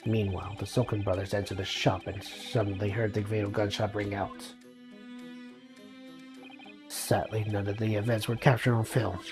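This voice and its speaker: A woman voicing a cool, condescending male prosecutor in a courtroom game, speaking quietly and formally. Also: very deep voice, flat delivery, normal speed, music in the background, pitched below the performer's own voice. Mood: cool, disdainful.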